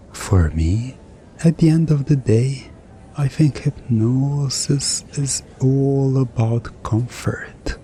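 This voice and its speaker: A man playing a calm, gently coaxing hypnotist in an ASMR roleplay, speaking in a hushed, lulling way with long pauses. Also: medium pitch, a little hiss or hum.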